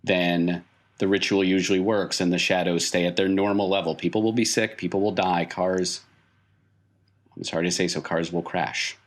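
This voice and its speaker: A man's voice: deep voice, steady tone, moderate pace. A man acting as game master, improvising explanations in a tabletop story game with emphatic, repeated phrasing.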